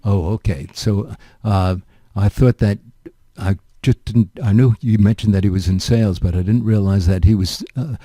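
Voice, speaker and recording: A man's voice: lowish voice, normal speed, warm tone, no echo, clean studio sound. An older man hosting a radio interview, asking chatty, rambling questions full of asides. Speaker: radio show host.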